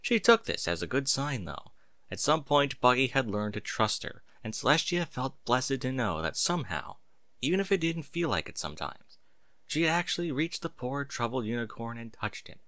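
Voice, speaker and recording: A man narrating a story aloud in an expressive, dramatic and emphatic way. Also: mid-range voice, moderate pace, no echo, studio quality. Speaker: narrator reading fan fiction aloud.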